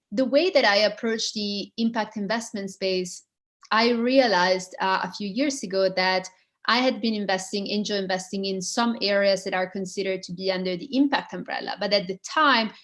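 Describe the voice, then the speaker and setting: A woman's voice: mid-range voice, normal speed. A woman, talking informally about herself as an interview guest. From Switzerland. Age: 30s. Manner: informal, frequent "like", chuckles mid-sentence. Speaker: guest, impact investor and founder. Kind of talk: informal personal account in an interview. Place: online video call.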